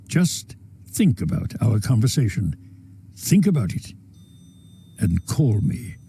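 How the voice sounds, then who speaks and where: An actor playing a smooth-talking, predatory power broker in a game cutscene, measured, courteous and insinuating. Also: male voice, fairly low pitch, sing-song, warm tone, slight background noise, heavily acted. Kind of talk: video game cutscene dialogue.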